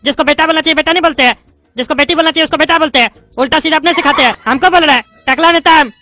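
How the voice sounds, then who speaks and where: A voice actor doing a squeaky, touchy bald politician. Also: bright, forceful tone, no echo, soft background music. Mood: arguing. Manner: indignant, rapid-fire arguing and lecturing. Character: bald loudmouth male politician student, touchy and argumentative. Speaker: adult student in a class.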